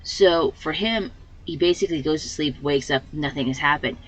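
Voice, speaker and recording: A woman with a slightly hoarse voice hosting a let's play, giving casual commentary and thinking aloud as she plays. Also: low-pitched, moderate pace, a bit bright, poor audio. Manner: rambling, thinking aloud with fillers.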